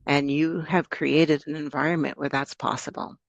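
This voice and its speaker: Gravelly-voiced grateful American woman. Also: low voice, little pitch movement, moderate pace, dry recording, dull audio. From United States. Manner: earnest, grateful, slightly meandering. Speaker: summit guest speaker. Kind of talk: self-introduction on a video call.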